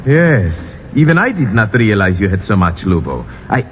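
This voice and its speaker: An actor playing a grave, loyal army lieutenant with formal, earnest, theatrical old-time radio delivery. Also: male voice, fairly low pitch, very animated, moderate pace. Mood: shocked, indignant. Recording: muffled, noisy old radio recording.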